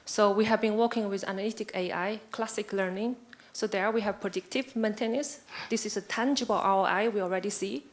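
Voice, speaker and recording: A woman's voice: medium pitch, steady tone, fairly quick. A woman on a panel, explaining steadily. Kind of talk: panel discussion.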